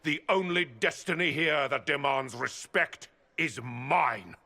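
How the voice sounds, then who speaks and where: An actor playing an arrogant, contemptuous templar commander in a fantasy game, speaking with haughty scorn. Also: male voice, fairly high pitch, expressive, takes it slowly, gravelly, sharp tone, heavily acted. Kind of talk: fantasy game cutscene acting.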